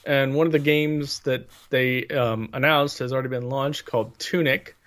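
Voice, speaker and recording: A man's voice: medium pitch, fairly even intonation, normal speed, a bit bright. A man co-hosting a casual podcast chat, talking in an emphatic, exasperated way. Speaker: podcast co-host.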